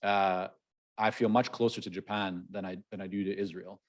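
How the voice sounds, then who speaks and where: A man from Canada, whose first language is Hebrew, hosting a conversational interview in a relaxed way and often repeating words as he thinks. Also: sits low, normal speed. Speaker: podcast host.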